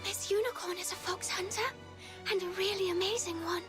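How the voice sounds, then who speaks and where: A woman's voice: very high-pitched, steady tone, a little fast. A woman voicing a sweet, eager little girl in a video game, with a girlish voice. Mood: eager, earnest. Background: background music.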